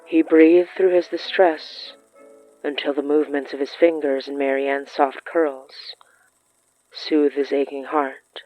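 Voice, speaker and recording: A narrator reading scripted period fiction in a measured, deliberate way with pauses. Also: mid-range voice, flat delivery, no echo, muffled recording. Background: background music.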